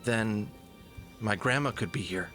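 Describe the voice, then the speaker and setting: A man's voice: lowish voice, a little slow, fairly bright tone, faint music behind. A man playing a good-natured, skeptical young hero in an audio drama, talking in a casual, wry, modern way. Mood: puzzled, sober. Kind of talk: audio drama character acting.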